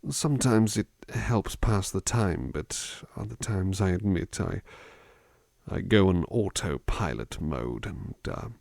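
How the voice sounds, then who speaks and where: A man acting as a gentle, attentive butler for an ASMR roleplay. He speaks very slowly in a low voice, close and dry on the mic, with a touch of gravel. His manner is polite and musing, often hesitant as he rambles softly to the person in his care. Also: lively intonation, no room sound, heavily acted. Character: gentle, musing, attentive male butler looking after someone. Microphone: close dry microphone.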